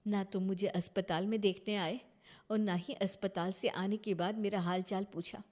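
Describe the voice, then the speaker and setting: A woman's voice: mid-range voice, steady tone, moderate pace, darkish tone, close to an everyday voice. A woman voicing a wronged, defiant young wife and mother in a cartoon moral story, indignant and emphatic. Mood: hurt, reproachful.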